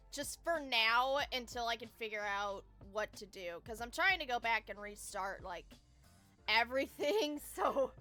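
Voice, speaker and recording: Girl streamer chatting to her viewers, real bright voice, says y'all, music playing behind. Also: fairly high pitch. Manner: informal, addresses viewers as y'all. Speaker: live game streamer. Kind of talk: casual livestream chat to viewers.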